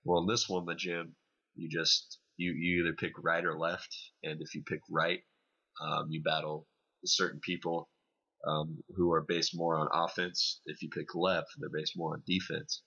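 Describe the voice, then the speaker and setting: A man co-hosting a podcast, giving a casual video game review in a hesitant, explanatory way with many pauses. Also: low-pitched, level tone, pushed and bright, slightly rough, muffled recording. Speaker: podcast co-host reviewing games.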